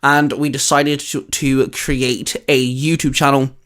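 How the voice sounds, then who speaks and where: A man's voice: mid-range voice, level tone, measured pace, a bit bright. A man with an English accent hosting a casual, geeky chat, explaining things in a hesitant, rambling way. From England. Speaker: host of a fan discussion channel. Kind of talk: casual geeky discussion among friends.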